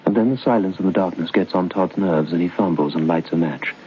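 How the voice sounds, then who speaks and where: An actor as an ominous storyteller, narrating an old-time radio horror tale with deliberate dramatic pauses. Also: male voice, low-pitched, natural voice. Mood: grim, brooding. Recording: dull, hissy old radio recording.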